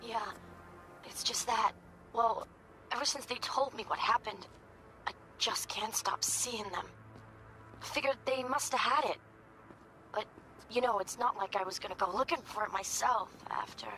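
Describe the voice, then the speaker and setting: A woman's voice: fairly high pitch, normal speed, long pauses, pushed and bright, faint music behind, poor audio. A woman playing a guarded, uneasy corporate professional in a video game, clipped and evasive in her speech. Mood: shaken, uneasy. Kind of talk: naturalistic video game voice acting.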